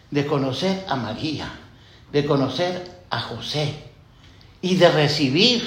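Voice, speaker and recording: A man with a fairly high voice speaks as a priest giving a homily, taking his time over each phrase. He pauses with "eh" and turns to ask questions of the listeners, and the sound carries the echo of a church. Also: a bit bright. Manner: slow, reflective, with many "eh" fillers and questions to the congregation.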